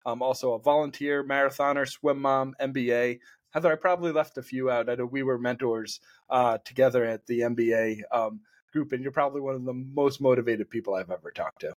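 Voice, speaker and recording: A man hosts a podcast interview, asking questions in a friendly, loose manner. His phrasing rambles with fillers, and his voice sits at an ordinary pitch. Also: fairly quick. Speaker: podcast host. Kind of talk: interview hosting.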